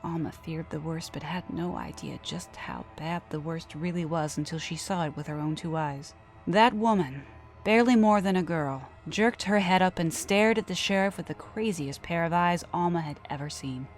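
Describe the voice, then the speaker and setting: A woman narrating a scripted horror story dramatically, often dropping to a hush at the ends of phrases. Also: sits low, normal speed. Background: background music.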